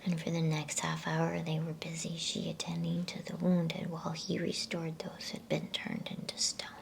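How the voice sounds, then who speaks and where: A woman reading a bedtime story in a breathy, soothing ASMR whisper. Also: sits low, completely flat delivery, slight background noise. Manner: hushed, unhurried, soothing delivery. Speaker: storybook reader.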